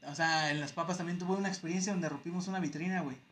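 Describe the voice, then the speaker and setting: A man's voice: a little high, steady tone, very fast, fairly bright tone, slight background noise. A man with a Mexican accent co-hosting a casual podcast chat, telling work stories in heavy slang. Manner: slangy, storytelling, acts out haggling voices. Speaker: podcast co-host.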